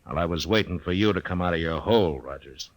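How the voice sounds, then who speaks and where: Western radio lead: stern American lawman, very low voice, steady, clipped, dry authority, vintage recording. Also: fast. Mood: dry, guarded. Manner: terse, dry, commanding. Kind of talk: old-time radio western acting.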